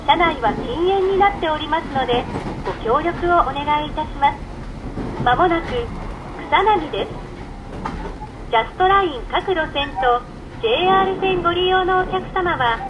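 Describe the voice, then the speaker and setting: Lady doing formal train announcements, high polite voice, lots of train noise. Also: animated, normal speed, lo-fi sound. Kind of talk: scripted recorded announcement. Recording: very noisy recording inside moving train. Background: train rumble and noise.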